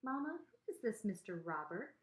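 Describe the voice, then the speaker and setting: A woman's voice: mid-range voice, big pitch swings, normal speed, lightly acted. A woman voicing a worried, pleading mother with a Southern US accent in a story. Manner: pleading, dismayed. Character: worried Southern mother, easily upset by her own mama. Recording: echoey room.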